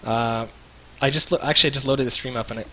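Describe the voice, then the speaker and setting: A man's voice: mid-range voice, steady tone, quick pace, pushed and bright, slight background noise. A man co-hosting a live stream in casual, jokey chat, rambling and searching for words. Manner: rambling, loose, jokey, hesitant.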